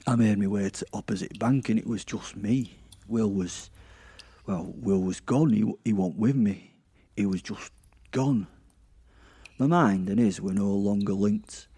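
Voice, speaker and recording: A man playing a sardonic, awkward werewolf who narrates in a halting, self-mocking way with theatrical emphasis, in a horror-comedy audio drama. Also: on the low side, dark tone. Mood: shaken. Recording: clear background ambient noise.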